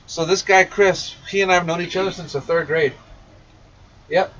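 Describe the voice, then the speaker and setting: A man's voice: sits high, steady tone, moderate pace. A man chatting casually on a live stream as an informal, enthusiastic radio shop technician. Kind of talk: casual live stream chat, answering questions. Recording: muffled, distant mic with faint noise.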